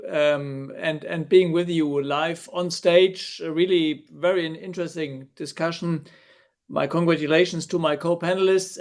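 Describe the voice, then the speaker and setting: A man with a strikingly high voice responds as a remote panelist. His delivery is formal and polite, working through his answer with small repeats. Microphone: remote video call.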